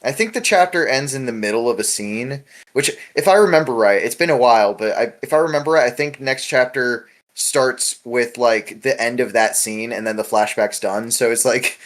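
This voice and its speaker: A man's voice: medium pitch, normal speed, hardly any pauses. A man hosting a casual podcast chat, talking in a rambling, informal way with lots of filler words. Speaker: podcast host. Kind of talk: casual podcast discussion of a webcomic.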